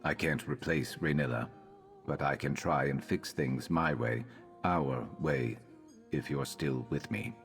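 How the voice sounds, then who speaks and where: Very deep, sardonic lizard-man mercenary. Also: unhurried, creaky, soft background music, heavily acted. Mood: somber, resolute. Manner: dry, sardonic, deadpan. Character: gruff sardonic male Argonian lizard-man mercenary companion. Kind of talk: video game character dialogue.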